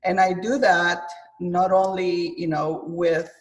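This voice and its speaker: A woman's voice: fairly low pitch, flat delivery, slow. Hesitant woman from Guatemala, distant mic. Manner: hesitant, many fillers, thoughtful. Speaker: chef and author guest. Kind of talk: interview conversation.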